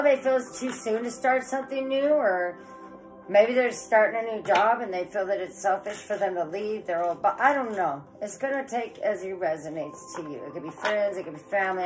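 Woman, 40s, home tarot reader filmed by phone across a table in her living room; chatty, stuffy from a cold, clear music underneath. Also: medium pitch, fairly quick, poor audio. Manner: chatty, rambling, says "guys" often. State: head cold, sniffling. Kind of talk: casual tarot reading to camera. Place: living room with electric fireplace, indoors.

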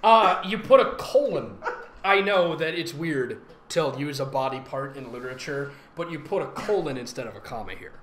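A man with a high, very animated voice reads aloud for laughs and mocks as he goes. He is theatrical, sarcastic and quick to giggle. The room adds noticeable echo. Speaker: host, fan fiction reader and voice actor. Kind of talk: comedic read-aloud with mocking commentary. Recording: roomy echo with faint noise.

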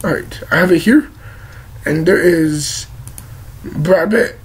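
A young man with a cold, his voice croaky and cracking, rambling through a blunt movie review vlog on a webcam in his living room. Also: fairly high pitch, slow. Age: young adult. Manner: rambling, gesturing a lot, blunt and swearing. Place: living room with leather couch, indoors. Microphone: webcam at desk distance.

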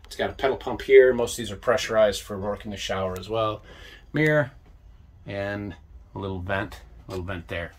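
A man in his fifties hosting a casual walkthrough tour of a sailboat, talking in a relaxed, enthusiastic way with lots of fillers, recorded on a handheld camera inside a small cabin. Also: on the low side, normal speed, background noise. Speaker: boat tour host. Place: small boat cabin, indoors. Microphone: handheld camera mic at arm's length.

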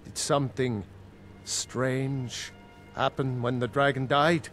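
An actor playing a stately, solemn Nordic ruler in a fantasy game, speaking in a measured, formal, authoritative way. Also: male voice, medium pitch, steady tone, a bit bright, soft background music. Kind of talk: fantasy video game dialogue.

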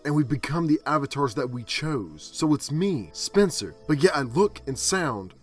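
A man in a film fan dub playing an anxious teenage boy in a hero's body, explaining haltingly. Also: on the high side, lively intonation, husky, faint music behind. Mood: earnest, nervous. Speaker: amateur fan-dub voice actor.

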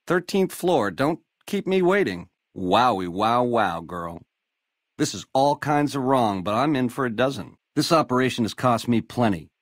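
An actor playing a slick, swaggering casino gangster, cocky and glib, in a video game full of 1950s lounge slang. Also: male voice, mid-range voice, animated, moderate pace, no room sound, studio quality, close to an everyday voice. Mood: incredulous, wary.

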